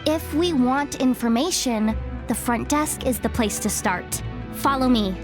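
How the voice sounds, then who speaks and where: A young woman informant from a video game, high-pitched and savvy. She talks in a wry, self-assured, conversational way, with music playing behind her. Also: a little slow. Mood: wry, businesslike. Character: street-smart young female informant, confident and wry. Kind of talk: video game cutscene acting.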